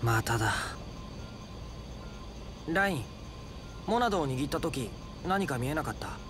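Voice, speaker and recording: A man playing a gentle, earnest, studious young man in Japanese video game acting. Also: mid-range voice, very animated, a little slow, background noise. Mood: shaken, puzzled. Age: young adult.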